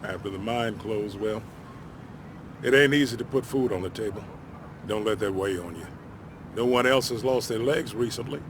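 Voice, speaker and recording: An actor playing a blunt, folksy, world-weary middle-aged American ex-miner, friendly and wry, in naturalistic video game style. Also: male voice, lowish voice, moderate pace, long pauses, background noise, lightly acted. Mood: wry, frank.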